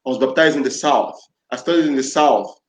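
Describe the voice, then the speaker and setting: A man's voice: mid-range voice, fairly quick. Earnest man from Nigeria, roomy sound. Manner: earnest, repeats and restarts phrases. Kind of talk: reflective panel talk. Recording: roomy, dull lo-fi audio.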